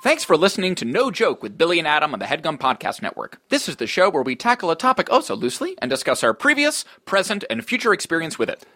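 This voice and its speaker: A man's voice: a little high, huge pitch swings, fast talker, hardly any pauses, pushed and bright. A man co-hosting a podcast in casual, bantering chat. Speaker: podcast co-host.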